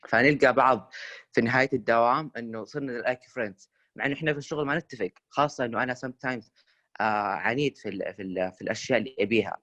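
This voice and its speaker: Rapid-fire young Saudi man, dry sound. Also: medium pitch, a bit bright, dry recording. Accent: Saudi Arabic. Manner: fast code-switching between Arabic and English, many fillers. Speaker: student guest asking a question. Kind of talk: casual Q&A on a video call. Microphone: video call.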